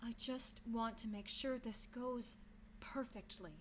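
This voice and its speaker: Faint noise sits behind an actress playing a young female student council president in an audio drama. Her voice is high, composed and exacting, clearly in charge. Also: fairly even intonation, takes it slowly. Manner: businesslike, in charge.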